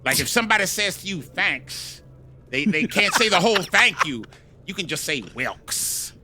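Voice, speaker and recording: A man improvising as an aging funk bass icon, drawling and playful, with a faint hum in the background. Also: sing-song, normal speed. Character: funky aged male funk bass icon. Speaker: guest character. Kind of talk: improvised comedy podcast.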